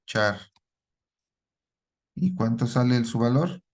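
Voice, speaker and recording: A man's voice: medium pitch, animated, takes it slowly, darkish tone. Man teaching a programming class. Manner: asks the class questions and repeats their answers, ends lines with a checking "¿sí?". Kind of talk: live classroom lesson.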